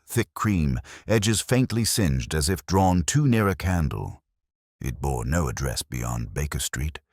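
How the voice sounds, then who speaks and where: Male narrator, extremely low pitch, expressive intonation, measured solemn mystery storytelling, audiobook style. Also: no room sound, studio quality. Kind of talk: scripted first-person mystery story narration.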